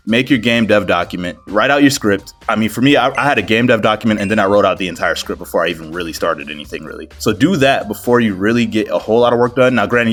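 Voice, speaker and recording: A man's voice: fairly low pitch, a bit bright. A man giving casual advice as a video creator, talking in a slangy, self-deprecating way full of "bro" and "man". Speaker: video creator giving game development tips. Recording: music behind the voice. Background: background music.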